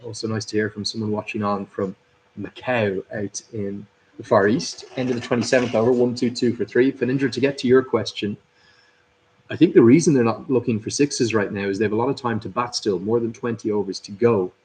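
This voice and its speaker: A man's voice: fairly low pitch, steady tone, a little fast, darkish tone, low-quality recording. A man hosting a live cricket watchalong, commentating and chatting through viewer comments. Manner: chatty, upbeat, reads out viewers' names and greetings. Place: at a cricket club.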